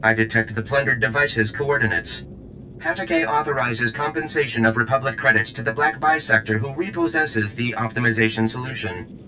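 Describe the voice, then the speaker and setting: A man voicing a stiff, haughty alien operator in a video game, speaking in stilted formal phrases with deliberate delivery. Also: lowish voice, flat delivery, noisy background, close to an everyday voice. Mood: formal, detached. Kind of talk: video game character acting.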